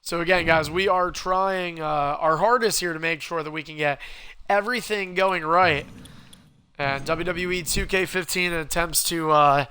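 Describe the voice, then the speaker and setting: A man with a cold, sounding hoarse, hosting a game livestream and calling the action play-by-play like a wrestling announcer. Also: fairly high pitch, steady tone, moderate pace, sharp tone. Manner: wrestling-announcer calls, rising and punchy. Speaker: stream host and commentator.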